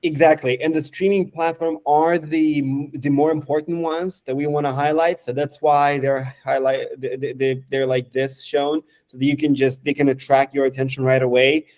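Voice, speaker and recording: Mellow-voiced man demoing software. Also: on the high side, level tone. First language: Romanian. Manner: halting, lots of fillers and restarts. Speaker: software developer. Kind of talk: casual screen-share product demo.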